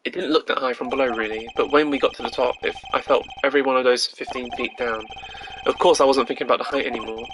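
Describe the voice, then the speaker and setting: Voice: medium pitch, steady tone, quick pace, background noise, close to an everyday voice. A streamer voicing an earnest, hesitant young male defense attorney in a video game, wry and unsure. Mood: uneasy. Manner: unsure, wry, narrating thoughts. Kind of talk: amateur voice acting of a visual novel game.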